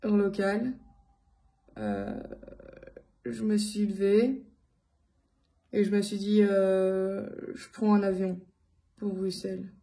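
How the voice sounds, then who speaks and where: A woman from Belgium giving a casual personal account to her followers, speaking in a halting way with many filled pauses. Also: medium pitch, animated, dark tone. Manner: hesitant, halting delivery with frequent long filled pauses. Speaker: vlogger addressing followers.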